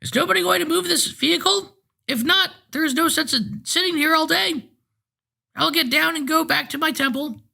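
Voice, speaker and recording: A man's voice: extremely high pitch, normal speed, creaky, sharp tone, pitched above the performer's own voice, full character voice. A man reading a story aloud, voicing a frail, cranky eighty-year-old man with a thin, piping voice. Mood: grumbling.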